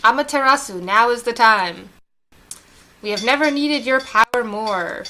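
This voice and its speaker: A woman voicing a solemn female tree spirit from a video game, reading formal dialogue slowly and earnestly. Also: on the high side, pushed and bright, slight background noise, low-quality recording.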